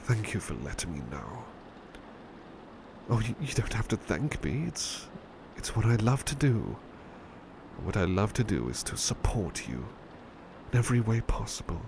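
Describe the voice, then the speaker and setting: Man playing a low, creaky, devoted butler. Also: big pitch swings, background noise. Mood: relieved, affectionate. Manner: hushed, sleepy, halting, often slipping into whispers. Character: devoted, tender male butler comforting a beloved at bedtime. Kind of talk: intimate ASMR roleplay.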